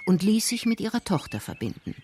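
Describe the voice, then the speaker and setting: A man's voice: high voice, very animated, fairly quick, darkish tone, a little hiss or hum. A man reading scripted narration for a radio crime drama. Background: music.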